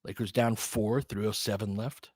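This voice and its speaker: A man's voice: on the low side, fairly even intonation, normal speed, darkish tone, husky, no room sound, clean studio sound. Man calling basketball play-by-play. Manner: conversational, partisan, reacting openly to the action. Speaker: play-by-play commentator. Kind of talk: fan-side basketball play-by-play commentary.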